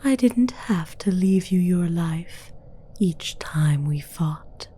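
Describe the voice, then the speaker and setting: A woman voicing a haughty, seductive female demon villain in a whispered, breathy ASMR fantasy roleplay, speaking formally and with condescension. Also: on the low side, lively intonation, warm tone, exaggerated voice. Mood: weary, menacing. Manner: slow, deliberate, condescending, formal.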